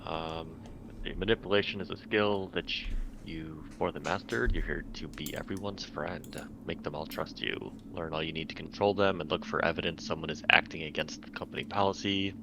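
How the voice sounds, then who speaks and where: Very deep, gravelly man, noisy recording. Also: fairly even intonation, normal speed. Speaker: tabletop roleplaying game player. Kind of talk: casual chat and reading aloud at a tabletop game. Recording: noisy home microphone recording.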